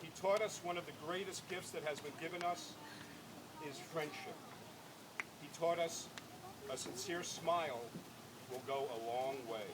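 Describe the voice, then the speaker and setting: A man reading prepared memorial remarks aloud outdoors at a ceremony, in deliberate, measured phrases with pauses. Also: fairly high pitch. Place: outdoors at a ball field. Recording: loud outdoor ambient and vehicle noise.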